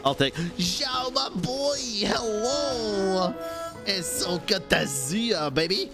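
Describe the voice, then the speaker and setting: A male game streamer with a fairly high voice that moves up and down a lot. He talks casually and expressively, with slang and swearing, over clear game music. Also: lively intonation, takes it slowly. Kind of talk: casual live gaming commentary.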